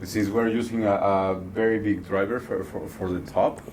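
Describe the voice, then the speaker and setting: A man giving casual, halting interview answers at a trade show, often repeating words mid-sentence. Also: lowish voice, steady tone, darkish tone. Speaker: loudspeaker designer and company co-founder. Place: busy audio trade show. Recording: noisy trade show floor.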